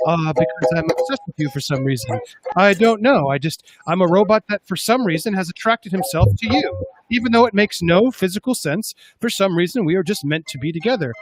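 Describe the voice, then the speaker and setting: A man voicing an awkward, lovesick male robot in a flat, deadpan manner. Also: high voice, a little fast, slight background noise, voice pushed down, rough recording.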